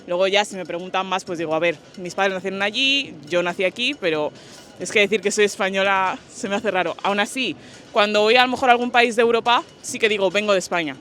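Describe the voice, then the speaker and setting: A woman's voice: mid-range voice, quick pace, fairly bright tone, slight background noise. A young woman from Spain answering street interview questions in a thoughtful, casual and slangy way. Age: young adult.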